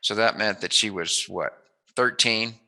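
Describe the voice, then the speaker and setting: Man, middle-aged, lowish pitch, very forceful tone, casual interviewer, jokey storyteller. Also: measured pace. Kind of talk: casual reminiscing interview chat.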